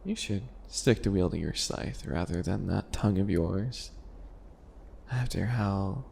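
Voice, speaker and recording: A man playing a calculating, obsessive potion-maker in an ASMR roleplay, with a deliberate, mocking, theatrical delivery. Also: low-pitched, gravelly, mellow, a little hiss or hum.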